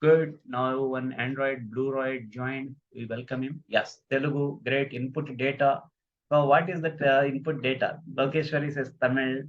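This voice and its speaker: A man's voice: mid-range voice, fairly even intonation, normal speed. A man giving a live online lecture on communication skills, stressing key phrases, repeating himself and putting questions to his viewers. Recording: dull lo-fi recording.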